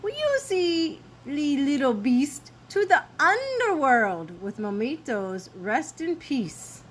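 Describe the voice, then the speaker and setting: Woman voicing grand, high-pitched little Chihuahua bandit. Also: sing-song, takes it slowly, slight background noise, full character voice. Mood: ominous, grand. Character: small Chihuahua bandit pals, eager and grandly mysterious.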